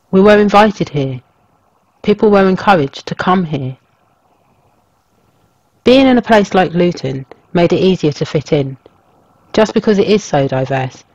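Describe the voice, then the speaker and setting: A man reading a scripted documentary narration as a storyteller, in a measured, even way. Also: high voice, no room sound, highs cut off. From England.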